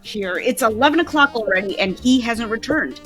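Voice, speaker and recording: Animated, puzzled detective voiced by a woman. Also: a little high, normal speed, faint music behind. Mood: puzzled, probing. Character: earnest, baffled police detective. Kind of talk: radio play table read.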